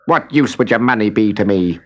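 A man's voice: on the high side, expressive, normal speed, breathy edge, pitched above the performer's own voice. A man voicing a cross, scowling little mountain man in a storybook reading. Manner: snappish and curt.

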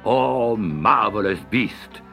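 A man voicing a grand storybook Sultan with a pompous, drawn-out, declamatory delivery. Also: lowish voice, lively intonation, creaky, pushed and bright, soft background music, exaggerated voice. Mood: amazed, grand. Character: grand, exuberant male Sultan of a storybook palace. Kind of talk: storybook character voice.

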